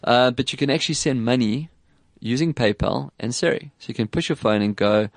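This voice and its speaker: A man with an English South African accent hosting a casual tech chat, animated and quick to put on voices when quoting people. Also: medium pitch, creaky, dry recording. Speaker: podcast host.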